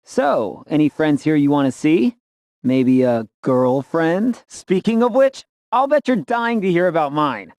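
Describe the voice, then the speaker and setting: Easygoing young soldier, lively intonation. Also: male voice, a little high, unhurried, dry recording. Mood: playful, fond. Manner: casual, boyish, earnest. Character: easygoing, upbeat young male ex-soldier, loyal and earnest. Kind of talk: video game voice acting.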